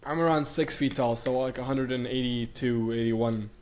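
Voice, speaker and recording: A man's voice: medium pitch, steady tone, normal speed, a little hiss or hum. A young man from Bulgaria who grew up in Sweden, talking as a guest in a casual interview, rambling with many fillers and restarts. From Bulgaria, raised in Sweden.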